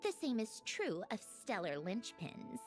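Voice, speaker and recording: A woman's voice: medium pitch, sing-song, unhurried. A woman voicing a proud, aloof female puppet scholar in a video game, precise and matter-of-fact as she lectures. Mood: explanatory. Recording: game music under the voice. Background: game music.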